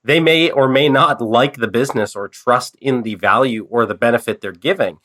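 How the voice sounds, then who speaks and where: Male podcast host, fighting a cold, conversational and loose, mid-pitched. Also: moderate pace, fairly bright tone. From Canada. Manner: conversational, loose, frequent fillers and restarts. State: stuffed up with a cold. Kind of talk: casual business podcast chat.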